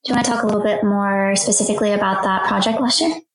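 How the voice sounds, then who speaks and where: Female host, mid-pitched and polished. Handles the formal introductions and questions. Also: steady tone, rapid-fire. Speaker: show host.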